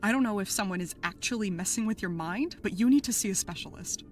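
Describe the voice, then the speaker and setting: A woman's voice: medium pitch, quick pace, slight background noise, lightly acted. A woman playing a worried, exasperated podcast producer in her thirties in an audio drama, earnest and pleading. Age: 30s.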